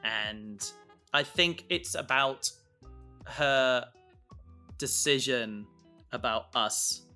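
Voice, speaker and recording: A man in a casual discussion as a co-host, talking informally and haltingly as he thinks aloud. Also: medium pitch, pushed and bright, smooth. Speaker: co-host, audio drama creator. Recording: music playing underneath.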